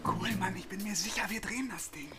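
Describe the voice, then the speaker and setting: A man's voice: sits high, quick pace, natural voice. An actor playing a cocky, eager young man in a radio drama, a boastful little brother who talks in slang. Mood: cocky, excited. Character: cocky, eager 19-year-old little brother, petty looter.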